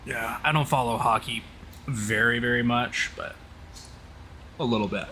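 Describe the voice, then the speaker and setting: A man's voice: medium pitch, normal speed. A man from the southern United States chatting casually and teasingly while live-streaming a flight simulator. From southern United States (Oklahoma). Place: voice chat on a game stream. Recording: steady background noise.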